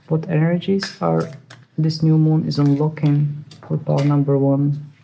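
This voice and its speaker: A tarot reader who is not a native English speaker, hesitantly searching for words with many fillers and soft laughs. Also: medium pitch, little pitch movement, measured pace, vocal fry, slight background noise, poor audio. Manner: soft laughs while talking. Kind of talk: pick-a-card tarot reading.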